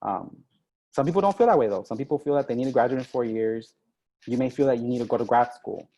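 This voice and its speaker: Young male diplomat, casual guest speaker, average pitch, video call audio. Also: fast, dark tone, lo-fi sound. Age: young adult. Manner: conversational, many ums and "right?" tags. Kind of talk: informal online info session.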